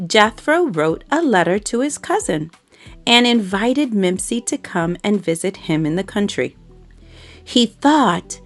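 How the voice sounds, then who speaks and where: Woman storyteller for young kids: expressive, lively pitch, unhurried, faint music underneath. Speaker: storybook reader. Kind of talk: scripted read-aloud of a children's story.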